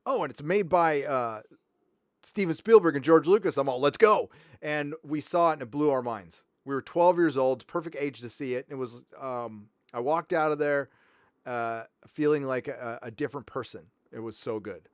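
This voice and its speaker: A middle-aged man from Southern California hosting a livestream, chatting casually and reading donations, loud and animated, swinging from mumbles to shouts. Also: medium pitch, moderate pace, no echo, studio quality. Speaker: livestream host.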